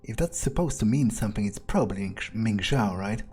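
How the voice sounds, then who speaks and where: A man's voice: fairly low pitch, animated, a little fast, a little mellow. A man playing a cocky, cruel, foul-mouthed vampire who muses aloud in a video game roleplay. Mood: musing. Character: cocky, cruel male vampire player character, musing aloud. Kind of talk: in-character game roleplay monologue. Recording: clear background noise.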